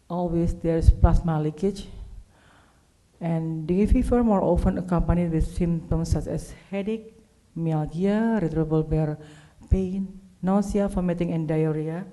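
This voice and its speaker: A woman with an Indonesian accent presents at a medical conference. Her voice is very soft-edged and dark, pitched a little low. She speaks halting English, piecing phrases together as a non-native speaker. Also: fairly even intonation. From Indonesia, Java. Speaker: clinical pathologist, conference speaker.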